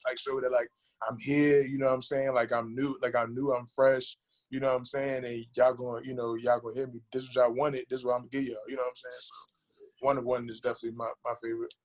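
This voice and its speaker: A man chatting casually as a guest music producer, halting and repeating himself, with plenty of slang. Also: medium pitch, level tone. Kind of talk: casual interview chat.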